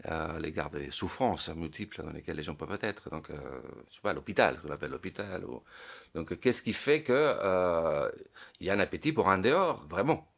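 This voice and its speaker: A man's voice: low-pitched, fairly bright tone. A man in his late fifties, a psychologist giving an interview, thinking aloud and often stumbling over small repeated words as he searches for the next phrase. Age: 50s. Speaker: psychologist, guest. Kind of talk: reflective interview talk.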